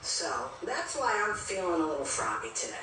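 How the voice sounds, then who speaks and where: A woman's voice: on the low side, sing-song, moderate pace, crowd noise. A woman giving casual, hesitant commentary on online drama, often repeating her words, hot and sweaty. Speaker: TikTok commentator. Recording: echoey, distant, noisy playback.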